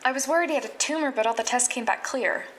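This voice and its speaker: An actress playing an earnest, anxious young female medical intern in an audio drama diary, rambling and self-conscious with halting phrases. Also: high-pitched, echoey room, natural voice. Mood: frustrated, worried. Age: young adult. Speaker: actor.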